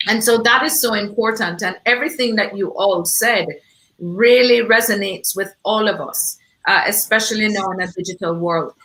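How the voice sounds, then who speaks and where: A woman with a Jamaican accent and a bright, forceful voice hosts an event discussion. She speaks off the cuff, effusively and with emphasis, at an average pitch. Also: normal speed, rough recording. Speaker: event host. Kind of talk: unscripted panel discussion talk.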